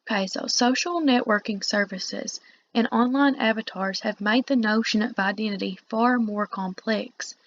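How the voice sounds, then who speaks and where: A woman teacher giving a read-along lecture, reading aloud and pausing to explain in informal asides. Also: mid-range voice. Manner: explanatory, pausing to paraphrase the text. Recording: dull, muffled lo-fi recording.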